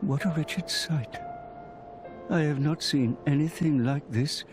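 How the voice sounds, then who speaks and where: A man's voice: fairly high pitch, takes it slowly. A man voicing a grave, long-lived elder companion in a dramatic video game. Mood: dismayed. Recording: music under the voice.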